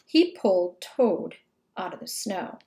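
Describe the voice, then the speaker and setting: A woman reading a children's storybook aloud in an expressive, emphatic narrating style. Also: mid-range voice, takes it slowly, slight background noise. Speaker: storybook reader.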